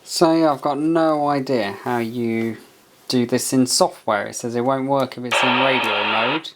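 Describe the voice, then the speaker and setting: A man's voice: mid-range voice, a little slow, fairly bright tone, rough recording. A man doing a casual hands-on product review, muttering as he thinks aloud and reads bits from a manual. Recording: clear background noise with handling clicks.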